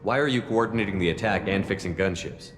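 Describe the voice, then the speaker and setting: A man's voice: low-pitched, fast talker, in a large hall, faint music behind, close to an everyday voice. An actor playing a tough, businesslike male soldier and squad commander in a video game, speaking directly.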